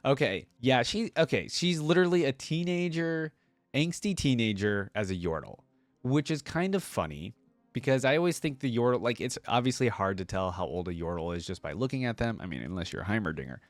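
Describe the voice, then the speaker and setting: A man's voice: mid-range voice, animated, a little fast, no echo. A man reading a story aloud as a video host and reacting to it with informal, casual commentary.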